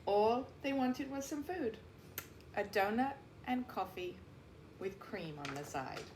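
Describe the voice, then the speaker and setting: A woman reading a picture book aloud like a teacher, with deliberate, emphatic phrasing and explanatory asides, in a roomy recording. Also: fairly high pitch, expressive. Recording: roomy echo, faint background noise.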